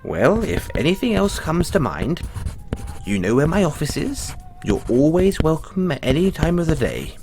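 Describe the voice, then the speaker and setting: A man voicing a refined male playwright in a one-sided audio roleplay, speaking in a theatrical, drawn-out way and dropping low on each "dear boy". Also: sing-song, darkish tone, soft background music. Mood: affectionate.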